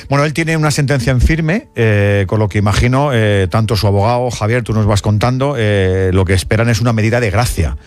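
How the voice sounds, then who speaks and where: A man's voice: on the low side, fairly quick, few pauses. A man co-hosting a radio sports show, explaining background while thinking aloud.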